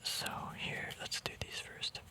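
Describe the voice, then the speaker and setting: A man whispers throughout in a breathy, voiceless hush. He talks casually in an ASMR style, murmuring his commentary as if thinking aloud, with a hesitant, unscripted flow. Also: a little slow. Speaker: ASMR video creator. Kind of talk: ASMR, casual rambling commentary on a card deck.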